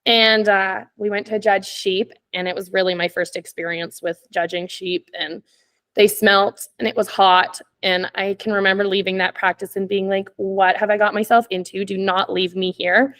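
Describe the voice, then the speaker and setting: A young woman from Canada chats as a podcast guest, telling stories with frequent laughs and a steady stream of "like" and "um". Her voice sits at an ordinary pitch for a woman. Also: normal speed. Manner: chatty, laughs often, many "like" and "um" fillers. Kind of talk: casual storytelling chat.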